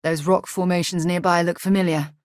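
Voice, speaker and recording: A woman playing an earnest, inquisitive, principled space explorer in a video game, with a husky voice and articulate, formal phrasing. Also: on the low side, flat delivery, fairly quick, no room sound, studio quality, close to an everyday voice. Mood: thoughtful, composed. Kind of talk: scripted video game dialogue.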